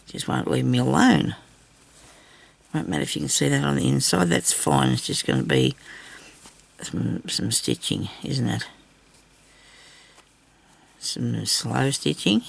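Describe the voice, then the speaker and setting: An older Australian woman with a low, husky voice roughened by a lingering cough, chatting casually and self-deprecatingly as she rambles through a craft video. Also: sing-song, measured pace, long pauses. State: lingering cough after weeks of sickness. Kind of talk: casual chat while hand stitching.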